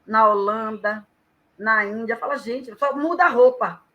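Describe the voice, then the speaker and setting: A woman guest on a live chat, talking lively and emphatically as she gives advice. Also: a little high, measured pace, lo-fi sound. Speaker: guest storyteller and author.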